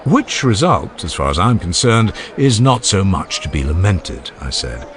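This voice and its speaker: A man narrating a dramatized classical dialogue in a formal, measured and expressive oratorical manner. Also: deep voice. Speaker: audiobook narrator. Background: ambient sound effects.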